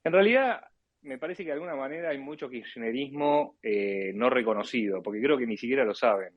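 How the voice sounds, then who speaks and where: A man's voice: mid-range voice, animated, brisk, no echo. A man from Argentina with an Argentine accent speaks casually in a political discussion, often hesitating and rephrasing as he goes. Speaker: caller in a live audio discussion. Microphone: live online audio chat, close and dry. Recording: dull lo-fi online audio.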